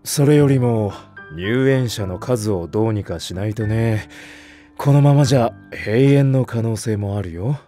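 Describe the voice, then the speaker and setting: An actor playing a calm, kindly veteran male nursery teacher with a soothing, mature manner in an anime drama. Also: on the low side, measured pace, soft background music, lightly acted. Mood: mildly concerned.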